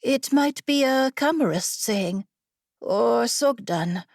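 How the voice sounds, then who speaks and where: A woman's voice: fairly high pitch, animated, takes it slowly, creaky, no room sound, studio quality, voice pushed up, full character voice. A woman voicing a bookish young woman traveler, eager and wordy, in an audiobook story. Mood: musing.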